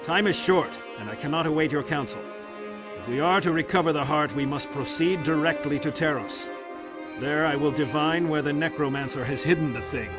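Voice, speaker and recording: An actor playing a resolute fantasy adventurer, delivering a formal, earnest report in a dramatic game cutscene. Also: male voice, fairly high pitch, brisk, over music, natural voice. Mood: urgent, determined.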